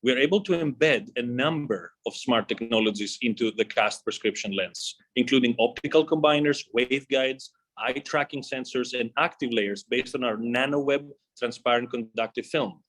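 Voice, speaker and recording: A man with a medium-pitched voice gives a scripted corporate presentation in the role of a company executive. He reads formally and in measured fashion, setting out his material in short phrases. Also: a bit bright, poor audio.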